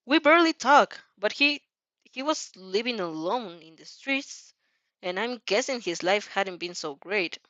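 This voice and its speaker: A woman's voice: mid-range voice, animated, unhurried, a bit bright, no room sound. A woman plays a flustered teenage girl student in a fan fiction audio story. She is defensive and stubborn, hesitating as she explains herself. The recording is dry and a bit muffled. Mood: hesitant, defensive. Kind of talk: amateur fan fiction character reading.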